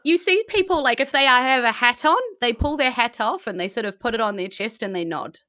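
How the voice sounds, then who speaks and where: A woman's voice: on the high side, a little fast, bright, forceful tone, dry recording, studio quality. A woman with a New Zealand accent improvising narration as a tabletop game master, informal and conversational.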